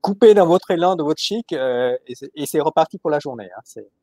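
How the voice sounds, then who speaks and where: Panel moderator, male, fairly high voice, teasing, stammers through repeats, dry close sound. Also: expressive, darkish tone, no room sound. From Paris. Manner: joking, halting with many repeated words. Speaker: webinar moderator. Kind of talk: casual panel discussion.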